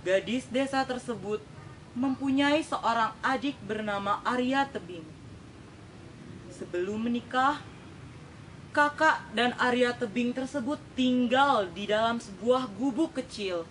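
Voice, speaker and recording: Young female storyteller, high-pitched, deliberate dramatic folktale delivery, faint noise behind. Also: fairly bright tone, poor audio. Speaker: storytelling contestant.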